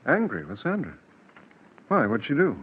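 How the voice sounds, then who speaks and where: An actor playing a doting, indulgent father in a 1940s radio drama, gentle and cajoling. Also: medium pitch, wildly swooping pitch, normal speed, husky, phone-quality sound. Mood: genial, puzzled. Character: doting, indulgent father and husband, soothing and affectionate. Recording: thin, band-limited 1940s radio recording.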